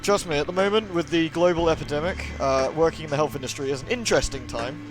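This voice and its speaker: A man's voice: a little high, quick pace. A British man hosting a livestream, chatting informally and in a friendly way as he greets and answers his viewers. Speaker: live streamer, host. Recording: music playing behind the voice. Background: moody music.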